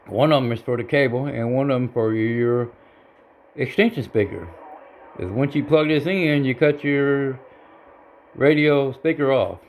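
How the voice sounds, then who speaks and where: A man giving a casual, unscripted how-to demonstration in a halting, folksy, plain-spoken way, as a hobbyist. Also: mid-range voice, gravelly, darkish tone. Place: indoor home radio room.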